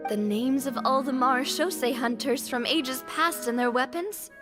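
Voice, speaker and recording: A woman's voice: high-pitched, a little slow. A woman voicing a cheerful, proud young adventurer in a video game, playful and theatrical. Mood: playfully proud. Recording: music under the voice.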